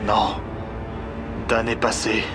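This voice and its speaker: A man playing a calm technician in an audio drama, speaking in a flat, matter-of-fact way. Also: lowish voice, takes it slowly, music in the background. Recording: distant, far from the mic.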